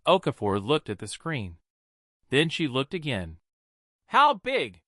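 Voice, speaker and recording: A man narrating a scripted science fiction story in a dramatic, deliberate manner, in short measured phrases. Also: medium pitch, sing-song, fairly bright tone, no room sound, studio quality.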